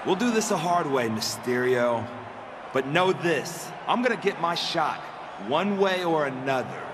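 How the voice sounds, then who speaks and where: Arrogant villain wrestler, fairly high voice, taunting promo delivery, video game cutscene, noisy ambience. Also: male voice, expressive, unhurried. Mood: defiant. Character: arrogant, obsessive villain pro wrestler, young man.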